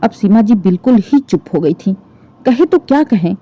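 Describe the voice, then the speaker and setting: A woman's voice: medium pitch, animated, moderate pace, highs cut off. A woman narrating a scripted family story expressively as a storyteller.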